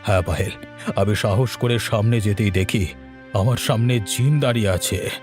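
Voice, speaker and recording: A man's voice: fairly low pitch, normal speed, a little mellow, faint music behind, lightly acted. A man voicing a doting, fearful village father in a cartoon, speaking in a tender, uneasy way. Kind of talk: cartoon voice acting.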